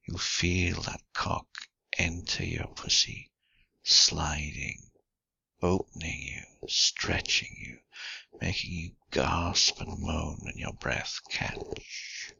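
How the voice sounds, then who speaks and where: Deep, very gravelly man, hushed and intimate. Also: steady tone, no echo, muffled recording. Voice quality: husky, gravelly. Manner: breathy, often whispered, slow, deliberate delivery. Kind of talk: intimate erotic guided hypnosis audio.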